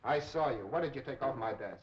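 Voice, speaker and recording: A man's voice: medium pitch, animated, brisk, a little hiss or hum, natural voice. Brusque, threatening spy boss, played by a man. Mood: curt, threatening. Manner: curt, demanding, clipped. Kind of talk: 1930s film acting. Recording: dull 1930s film soundtrack.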